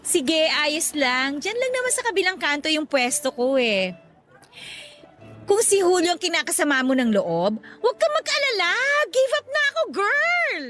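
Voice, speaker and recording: A sassy young Filipina market vendor, high-voiced and very animated. She teases and gossips with brash exclamations in radio drama style, over faint background music. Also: normal speed, full character voice. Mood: teasing. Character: brash, teasing young woman vendor, rival turned matchmaker.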